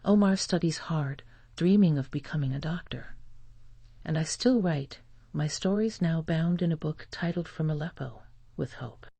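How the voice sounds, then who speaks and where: Soft, creaky storybook narrator with mid pitch. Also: normal speed, dry recording. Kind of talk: scripted first-person story narration for language learners.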